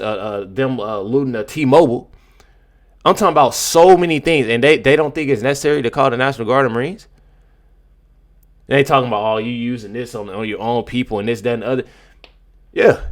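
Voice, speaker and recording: An American man doing casual political commentary as a video host, in an emphatic, slangy, halting way with many repeats. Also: medium pitch.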